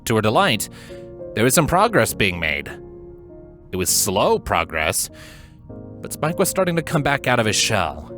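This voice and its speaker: A man's voice: on the low side, huge pitch swings, moderate pace, long pauses, fairly bright tone. A man reading scripted fan fiction as a storybook narrator. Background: background music.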